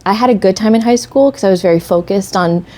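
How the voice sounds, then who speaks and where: A woman in her late twenties, a musician raised in Florida, chatting as a podcast guest in a relaxed, agreeable way. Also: medium pitch, fast talker. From born in South Africa, raised in Florida. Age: late 20s. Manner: relaxed, agreeable, many "yeah"s. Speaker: guest, electric violinist. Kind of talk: casual interview.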